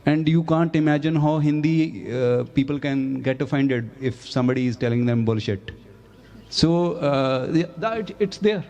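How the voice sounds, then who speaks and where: A man from Punjab, a journalist speaking earnestly on a festival panel, pausing with fillers. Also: fairly high pitch, warm tone. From Punjab, India. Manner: earnest, emphatic, hesitant with fillers. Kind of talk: panel discussion. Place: festival stage.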